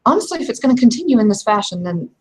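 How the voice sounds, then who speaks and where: A woman playing a proud, blunt young werewolf shield maiden in a tabletop game, with a husky voice and frank, halting phrasing. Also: on the high side, big pitch swings, voice pushed up. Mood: blunt, let down. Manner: frank, hesitant phrasing but unyielding.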